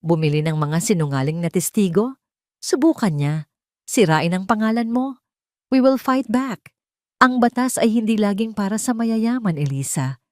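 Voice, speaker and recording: A woman with a Filipino accent voicing a determined, protective friend in an audio drama, calm but emphatic. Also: medium pitch, normal speed, dry recording, clean studio sound, voice pushed up, close to an everyday voice. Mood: fierce determination.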